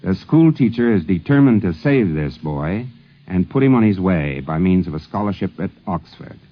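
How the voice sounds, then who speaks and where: A man with a low voice narrates as host of a 1950s radio program. His delivery is scripted, and the recording is dull and old. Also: big pitch swings, normal speed.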